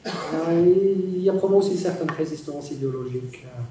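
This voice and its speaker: A middle-aged man, a professor answering audience questions in an academic, halting way with many pauses, in a large lecture hall. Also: on the high side. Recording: echoey hall, distant, some noise.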